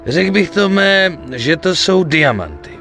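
An excitable, greedy gangster sidekick in a video game drama. His voice swings widely in pitch, with a forceful, gravelly edge. He wheedles and swears as he goes. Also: faint music behind, full character voice. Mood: excited, tempting. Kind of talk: dubbed video game cutscene acting.

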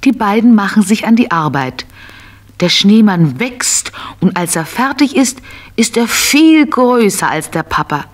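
A woman narrating a scripted children's tale in an expressive, simple storytelling manner. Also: medium pitch, a little slow.

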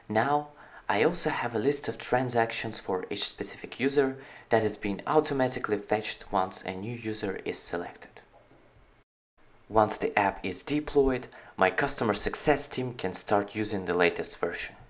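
Man narrating a software walkthrough. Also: on the low side, normal speed. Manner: steady, explanatory walkthrough. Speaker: company founder presenting a product. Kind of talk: screen-recorded software tutorial. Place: voice-over on a screen recording.

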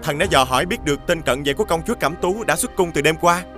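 A deferential palace servant, voiced by a male actor, in a children's fairy-tale cartoon. He reports quickly in a high voice over clear music. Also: lively intonation, natural voice. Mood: dutiful. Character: dutiful, deferential royal servant bringing reports.

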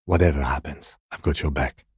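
A restrained, courteous police lieutenant for a video game. His voice is deep, dark and husky, delivered in a measured deadpan with a dry, sardonic edge. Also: dry recording, heavily acted. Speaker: game character, police detective. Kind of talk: video game dialogue voice lines.

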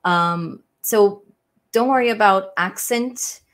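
Young Brazilian woman, friendly live-stream teacher, halting English with fillers and restarts. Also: mid-range voice. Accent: Brazilian Portuguese. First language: Portuguese. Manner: hesitant, many ums and restarts, friendly. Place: small room at home, indoors. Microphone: webcam framing, desk mic.

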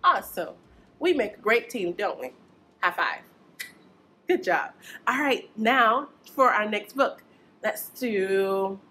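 Young lady doing kids storytime, encouraging and sing-songy, takes it slow, mid pitch. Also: fairly bright tone, slight background noise. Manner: encouraging, sing-song, talking to young children. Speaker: library storytime host. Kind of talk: preschool storytime, picture book read aloud.

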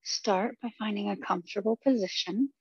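A woman teaching meditation, leading a guided body scan with gentle, unhurried instructions and long pauses. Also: medium pitch, breathy edge, no echo, lo-fi sound.

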